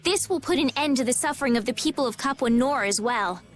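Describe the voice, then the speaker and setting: An actress playing a gentle, polite and earnest young princess in a video game, speaking formally and sincerely. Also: female voice, sits high, animated, fast talker, soft background music.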